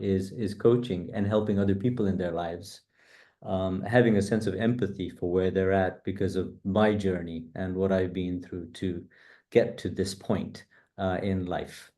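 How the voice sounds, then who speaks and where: A middle-aged man with a low, warm and mellow voice. He speaks as an interview guest, measured and earnest, pausing on fillers as he gathers his thoughts. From UK, born in East Africa. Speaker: guest, coach. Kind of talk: reflective interview answers.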